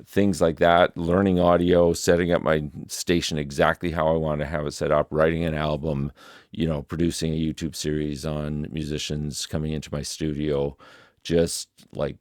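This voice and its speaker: A man's voice: level tone, normal speed, darkish tone, dry recording. A middle-aged man, thinking aloud in a reflective vlog recorded in his home music studio. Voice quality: deep voice. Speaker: musician and video creator. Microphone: desk studio microphone.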